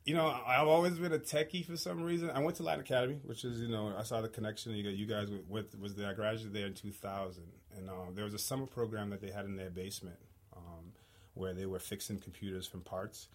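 A man in his thirties from Haiti, a guest talking casually in an interview, relaxed with lots of fillers. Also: fairly low pitch, fast. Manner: relaxed, laughs easily, many fillers.

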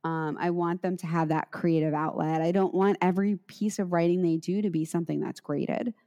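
A middle-aged woman teacher in casual conversation, speaking reflectively with frequent pauses and restarts. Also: fairly low pitch, fairly even intonation, dark tone. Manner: reflective, halting with many restarts.

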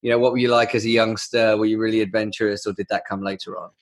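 A man's voice: fairly low pitch, little pitch movement. A man hosting an interview podcast, enthusiastic and chatty, often repeating his words. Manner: enthusiastic, repeats himself, quick bursts. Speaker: podcast host. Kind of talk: casual interview chat.

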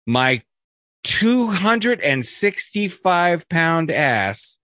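A man's voice: a little high, sing-song, extremely slow, pushed and bright, no room sound. A man co-hosting a casual podcast chat, reading a list aloud with jokey asides, fillers and restarts. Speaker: podcast co-host.

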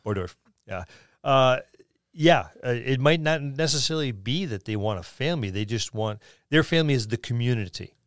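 A man's voice: mid-range voice, lively intonation, moderate pace, no echo, clean studio sound. A man co-hosting a podcast, talking casually and conversationally about tabletop roleplaying. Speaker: podcast co-host.

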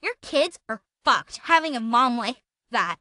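A woman's voice: on the high side, sing-song, measured pace, pushed and bright, dry recording, studio quality, full character voice. A woman dramatically reading the texts of an abusive, self-pitying woman, in an accusatory, aggrieved manner. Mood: threatening, aggrieved. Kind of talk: dramatic reading of a text exchange.

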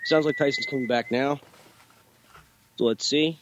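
Congested, rambling co-host. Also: male voice, mid-range voice, steady tone, moderate pace, dull audio, slight background noise. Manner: rambling, filler-heavy, laid-back. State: congested with bronchitis. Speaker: podcast co-host. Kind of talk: casual chat.

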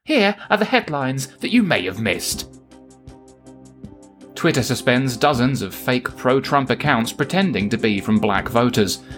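A man, reading scripted mock news headlines as a deadpan presenter. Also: on the low side. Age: 30s.

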